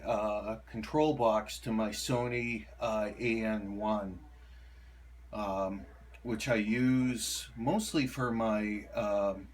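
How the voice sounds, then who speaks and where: A middle-aged man giving a casual, halting show-and-tell as a hobbyist, unhurried and keen on the details. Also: fairly low pitch, slight background noise. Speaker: hobbyist presenter of a radio collection.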